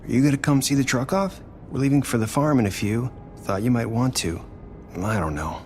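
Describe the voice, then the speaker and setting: A man's voice: medium pitch, brisk, darkish tone, slight background noise, close to an everyday voice. An actor playing a mild, friendly, slightly awkward man in a video game, casual and hesitant.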